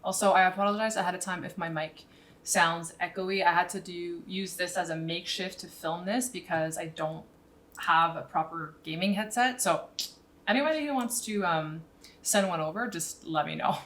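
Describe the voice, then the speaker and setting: A woman's voice: fairly low pitch, normal speed, fairly bright tone. A woman in her thirties giving a casual tutorial as a pilot and video host, thinking aloud as she works, close to a microphone in a small room. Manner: informal, thinking aloud while working. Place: small indoor room at home. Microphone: boom-arm microphone close up, headset worn.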